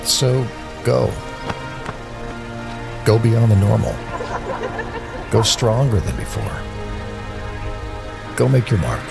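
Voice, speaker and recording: A man reading a scripted inspirational promo in a slow, dramatic way. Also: fairly low pitch, sing-song, gravelly. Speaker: promo narrator. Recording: voice-over over loud music.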